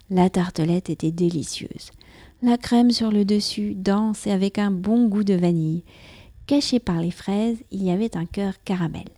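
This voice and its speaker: A woman from France hosting her own podcast, reading a scripted account of her day in a careful, clear manner. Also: mid-range voice, mellow, no echo. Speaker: podcast host. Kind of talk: scripted personal narration. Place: near Paris.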